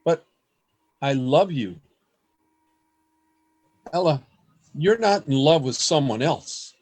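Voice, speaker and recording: A man's voice: a little high, lively intonation, slow pace, darkish tone, slightly rough, exaggerated voice. A man acting an earnest, lovestruck and fearful poet in a radio play, with formal, theatrical phrasing, recorded over a video call. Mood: pleading, lovestruck. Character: earnest, lovestruck, fearful male poet keeping a secret journal. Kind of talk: radio play acting, journal narration and dialogue.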